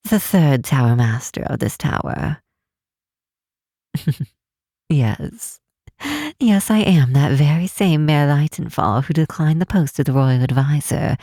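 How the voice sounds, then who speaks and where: A teasing half-elf tower mistress, acted by a woman in a fantasy ASMR roleplay. Her voice is dark and husky with a creaky edge, soft and close. She chooses formal, old-fashioned words and lets her curiosity show in an unhurried, playful manner. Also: low-pitched, no echo, heavily acted. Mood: playful, inviting.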